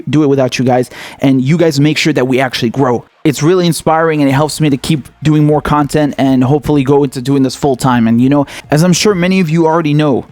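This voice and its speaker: A man's voice: a little high, a little mellow, dry recording. A man from Syria who hosts an independent political channel, talking casually and earnestly as he thanks his viewers. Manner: earnest and grateful, casual slang. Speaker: independent political YouTube host.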